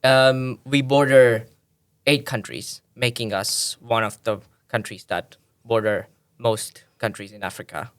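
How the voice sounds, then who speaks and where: A man's voice: medium pitch, fairly even intonation, a little slow, fairly bright tone, clean tone. A man from Tanzania, a podcast guest, explaining at length in a thoughtful way with frequent restarts. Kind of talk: explanatory conversational interview.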